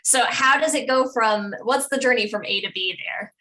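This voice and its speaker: A woman's voice: a little high, normal speed, pushed and bright, rough recording. A woman hosting a casual interview podcast, playful and teasing with the guest. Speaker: podcast host.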